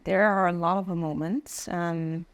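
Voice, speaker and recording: Low-voiced woman, halting and careful. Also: fairly even intonation, clean tone, dry recording, clean studio sound. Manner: halting, with many uh and um fillers. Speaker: podcast guest, tech leader. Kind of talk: casual interview chat.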